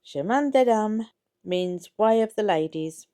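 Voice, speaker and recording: A woman's voice: medium pitch, expressive, unhurried, mellow, smooth. A woman reading a scripted history narration aloud in a factual, storytelling manner. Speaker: channel co-host narrating a history video.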